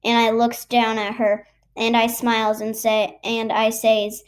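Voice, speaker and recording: A woman reading a children's book aloud haltingly, stumbling over and repeating words. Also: on the high side, completely flat delivery. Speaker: storybook reader.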